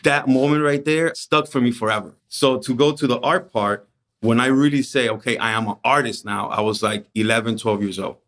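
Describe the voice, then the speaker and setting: A middle-aged man from the Dominican Republic, a painter guesting on a podcast, telling stories about his childhood in a relaxed, reflective way. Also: medium pitch, fairly quick. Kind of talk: casual storytelling interview.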